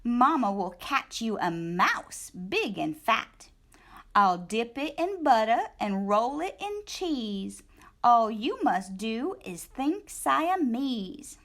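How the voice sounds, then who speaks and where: A woman's voice: a little high, big pitch swings, takes it slowly, a bit bright, exaggerated voice. A woman voicing a stern mother cat who scolds and coaxes her kitten in firm, rhyming lines. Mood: coaxing. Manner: firm, scolding, coaxing rhymes.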